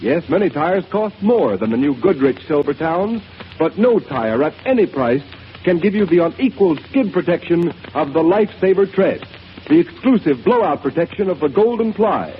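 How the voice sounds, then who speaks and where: A man's voice: a little high, big pitch swings, moderate pace. A male radio announcer reading an emphatic 1930s commercial. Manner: emphatic, salesy. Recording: dull old radio broadcast with hiss.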